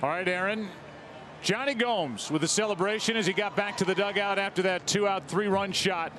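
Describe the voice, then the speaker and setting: Male broadcaster, high pitch, replay commentary, faint background noise. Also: moderate pace. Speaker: sports broadcaster.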